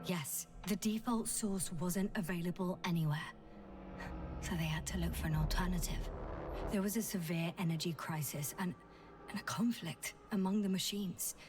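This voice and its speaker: Game role: actress as patient, analytical woman scientist, measured delivery, noisy ambience. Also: medium pitch, close to an everyday voice. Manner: explanatory, measured, articulate. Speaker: scientist explaining a theory. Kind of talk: video game dialogue acting.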